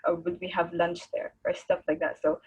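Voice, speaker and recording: Low husky woman on a call. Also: level tone, moderate pace, darkish tone, muffled recording. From Egypt. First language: Arabic. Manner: rambling, searching for words, laughs easily. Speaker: guest artist. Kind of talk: casual video call chat. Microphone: video call.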